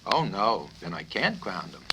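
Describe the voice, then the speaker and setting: A man's voice: deep voice, wildly swooping pitch, a little slow, bright, forceful tone, exaggerated voice. A man voicing a matter-of-fact, stubbornly logical army doctor in an audiobook. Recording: noisy 1970s vinyl record.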